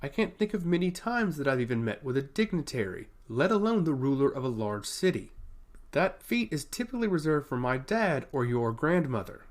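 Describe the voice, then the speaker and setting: A man's voice: fairly high pitch, very animated, moderate pace. Man as a teasing prince, self-assured, wry banter, swooping intonation, fantasy audiobook. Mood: amused.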